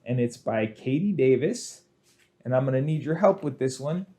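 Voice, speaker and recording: A man's voice: medium pitch, lively intonation, moderate pace, mellow. A man hosting a children's storytime, speaking in an exaggerated, sing-song way and encouraging young listeners along. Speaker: children's storytime librarian and host. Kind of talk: children's storytime with action songs and picture-book reading.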